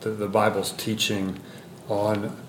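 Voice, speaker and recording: A man of middle pitch who hosts a podcast, chatty and easygoing, sometimes stumbling over his words. His voice rings a little in the room, with faint background noise. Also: monotone, takes it slowly. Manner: friendly, conversational questioning with hesitations. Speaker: podcast host, professor. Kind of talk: podcast interview. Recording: roomy sound with faint ambient noise.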